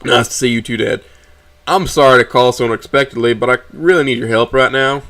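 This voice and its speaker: A man's voice: mid-range voice, steady tone, fairly quick, sharp tone. A man voicing a desperate, resentful young son in an audio story, speaking with raw emotion to his father. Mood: desperate pleading. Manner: pleading, raw, emotional outbursts.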